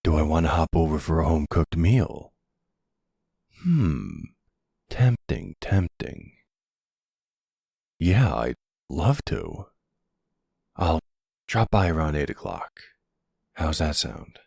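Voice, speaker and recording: Casting: male actor as silver-fox mob boss for ASMR roleplay, middle-aged, extremely low husky voice, unhurried, courteous and flirty. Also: very animated, dark tone, dry recording, clean studio sound, exaggerated voice. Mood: warm, flirtatious.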